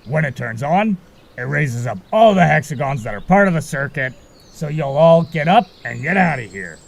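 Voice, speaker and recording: A man's voice: a little high, expressive, normal speed, gravelly. A man voicing a carefree, cagey marsh fairy guide in an audio drama, talking in a breezy, casual way. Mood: explaining, assured. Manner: breezy, casual, a bit evasive.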